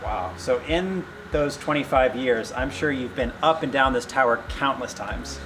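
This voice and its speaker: A man's voice: medium pitch, moderate pace. A man hosting a guided tour documentary, presenting to camera in a chatty, playful way. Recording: echoey hall reverb, music behind.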